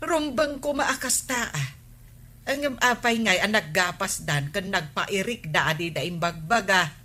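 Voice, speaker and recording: A woman's voice: mid-range voice, animated, measured pace, bright, forceful tone. An actress playing an indignant, questioning village woman in an Ilocano radio comedy drama, speaking with an Ilocano accent.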